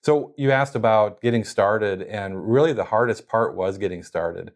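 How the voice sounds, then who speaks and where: A man's voice: lowish voice, moderate pace. A man being interviewed as a guest, explaining casually how he runs his company's podcast, with plenty of fillers and restarts.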